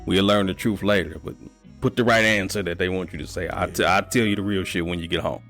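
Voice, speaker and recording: A man's voice: low-pitched, fairly even intonation, fast talker, fairly bright tone, faint music behind. A man in his thirties co-hosting a podcast, chatting casually and giving blunt, profane life advice. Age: 30s. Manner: laid-back, profane, advice-giving storyteller. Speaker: podcast co-host. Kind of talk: casual chat.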